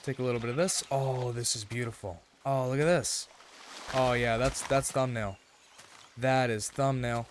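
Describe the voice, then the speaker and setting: A man with a slightly raspy, rough throat giving casual, rambling gameplay commentary in an earnest way, often checking in as he goes. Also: mid-range voice, fairly even intonation, moderate pace, slight background noise. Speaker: gaming video host.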